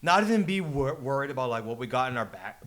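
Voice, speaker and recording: A young man co-hosting a casual chat, slangy, quick to interject and repeating his words. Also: mid-range voice, animated, a bit bright.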